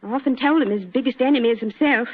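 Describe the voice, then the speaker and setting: A woman's voice: fairly high pitch, fast, lightly acted. A woman playing a plain-spoken young London shop girl, chatty and forthright, with a London accent, in 1940s radio drama. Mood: frank. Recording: tinny old radio recording.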